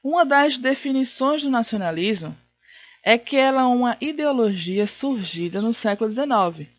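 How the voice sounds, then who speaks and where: A woman's voice: on the high side, muffled recording. Brazilian woman teaching a history lesson. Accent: Brazilian Portuguese. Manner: didactic, repeats key points.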